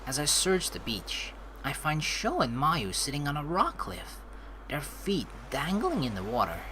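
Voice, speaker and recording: Animated, lowish-voiced woman playing a game. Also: unhurried, slight background noise, rough recording. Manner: chatty, reads game lines aloud expressively. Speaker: let's play host. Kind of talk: casual gameplay commentary and script reading.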